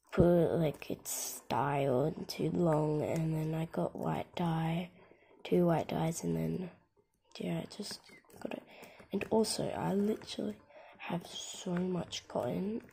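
A young girl with a croaky voice from a cold, softly rambling through a tour of her game with lots of "like" and "um". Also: mid-range voice, slight background noise. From Australia. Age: child. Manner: soft, half-whispered, rambling with many "like" and "um". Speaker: gaming channel host. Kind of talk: casual game tour commentary. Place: at home, filming a laptop screen. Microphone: phone camera.

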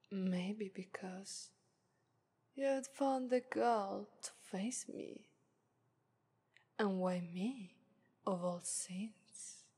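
A woman's voice: medium pitch, extremely slow, long pauses, dark tone, slightly rough, full character voice. A woman voicing a confident, haughty female demon of lust in an ASMR roleplay, whispering and teasing with an Italian accent. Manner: whispery, teasing, purring "ara ara".

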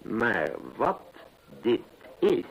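An actor playing a gruff, agreeable detective's companion in an old radio play, husky and gravelly, giving brief replies. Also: male voice, mid-range voice, huge pitch swings, extremely slow, lo-fi sound, full character voice. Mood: puzzled, attentive.

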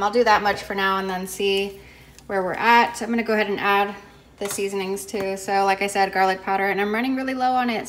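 A young woman talks you through her cooking in a home kitchen. She is casual and chatty, fills her pauses with ums, and often restarts her sentences. Her voice sits in a middle pitch, and it is picked up by the camera mic. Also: a bit bright. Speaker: cooking vlogger.

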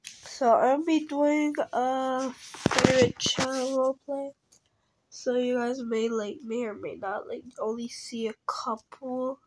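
A woman's voice: sits high, fairly even intonation, slow. A young girl chatting casually while playing a game, rambling and restarting, sometimes dropping to a whisper. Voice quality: rambling, often trails into a whisper. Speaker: game video creator. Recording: noisy phone recording with clicks.

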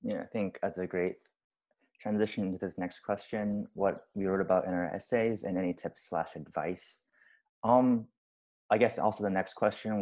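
A young man, a college student on a video call, giving earnest advice to high schoolers with many fillers. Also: darkish tone. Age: young adult. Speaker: college student on alumni panel. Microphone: laptop mic on a video call.

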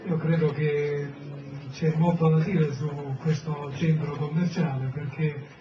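A man's voice: fairly high pitch, flat delivery, a little slow. A Sicilian man, a town mayor, giving a hesitant public speech full of fillers. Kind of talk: public speech at a press event. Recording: roomy, distant, dull, noisy.

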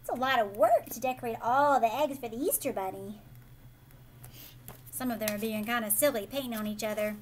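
High-voiced American woman reading to children. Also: a little fast, a little hiss or hum. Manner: animated, prompting listeners with questions. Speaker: teacher reading a picture book aloud to children. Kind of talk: expressive children's read-aloud with asides to the listener. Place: living room at home, indoors.

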